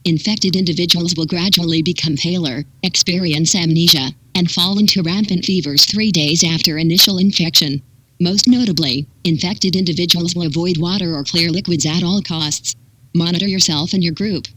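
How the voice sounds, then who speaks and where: Crisp, dry synthetic emergency announcer. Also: mid-range voice, fairly bright tone, no echo, rough recording. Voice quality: synthetic text-to-speech. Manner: impersonal, measured, clipped instructions. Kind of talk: scripted public warning announcement.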